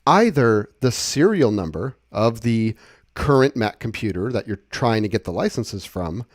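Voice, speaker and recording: A man giving a casual, conversational software walkthrough, explaining things step by step. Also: fairly low pitch, very animated, a little slow, no echo, clean studio sound. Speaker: software tutorial presenter.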